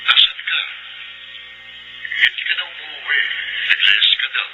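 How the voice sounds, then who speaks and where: A man's voice: fairly high pitch, lively intonation, a little slow, sharp tone, heavily acted, rough recording. A man acting a worried, absent father on the telephone in a Filipino radio drama, concerned and apologetic. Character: worried, absent father speaking gently by phone. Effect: telephone filter. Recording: filtered phone sound, music underneath.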